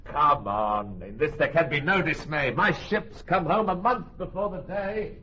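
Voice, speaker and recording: An actor playing a hearty, confident merchant gentleman in a classic Shakespearean radio drama. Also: on the high side, lively intonation, unhurried. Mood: breezy confidence. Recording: dull old radio recording, faint noise.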